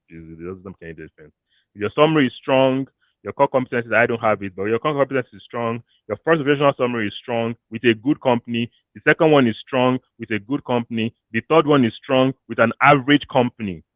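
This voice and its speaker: A Nigerian man talks career strategy in an animated, loose way, dropping pidgin phrases now and then. His voice sits at an ordinary pitch. The sound is thin and phone-like, with no room echo. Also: fast. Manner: animated, switches into pidgin, laughs often. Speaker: career coach. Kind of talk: casual talk to an audience. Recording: thin phone-like dry audio.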